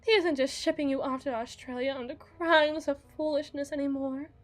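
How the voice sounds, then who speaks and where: A woman's voice: high-pitched, fairly even intonation, moderate pace, natural voice. A woman playing a desperate, bitter young bride in a dramatic ASMR roleplay, with theatrical, sighing, emotional delivery. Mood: desperate, hopeful.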